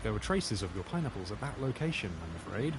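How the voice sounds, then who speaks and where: Man acting a polite detective pig, animated pitch. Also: noisy background. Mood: measured, formal. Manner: formal, deliberate phrasing. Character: famous detective pig, polite, measured, musing sleuth. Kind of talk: scripted video game character acting.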